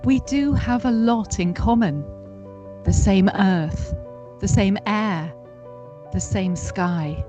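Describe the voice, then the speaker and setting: A woman playing a wise, reflective figure, delivering a measured, solemn film speech. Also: mid-range voice, mellow, faint music behind, voice pushed down, close to an everyday voice.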